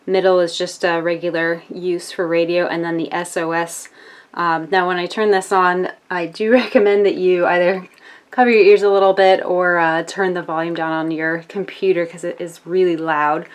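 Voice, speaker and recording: A woman's voice: on the low side, flat delivery, moderate pace, clear, resonant tone. An American woman narrating a casual product demonstration as a reviewer, explaining step by step in an informal, hesitant way.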